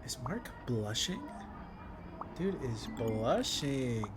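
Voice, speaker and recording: A man with a mid-pitched voice commentates casually on a game he is playing. He talks like an informal fan, full of slang. Music plays clearly behind him. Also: big pitch swings, very slow. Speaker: gaming streamer reacting to a visual novel. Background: clear background music.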